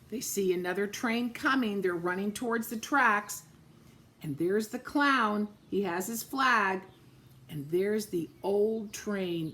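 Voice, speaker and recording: Slow, gentle woman reading a picture book. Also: mid-range voice. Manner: pausing to point out pictures, gentle asides. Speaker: storyteller.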